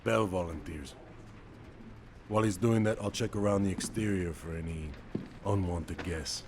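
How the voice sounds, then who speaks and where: A man playing a calm, businesslike covert operative in a game scene, speaking in a dry, matter-of-fact way. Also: deep voice, moderate pace. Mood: composed briefing. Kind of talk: video game cinematic acting. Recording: clear background noise and ambience.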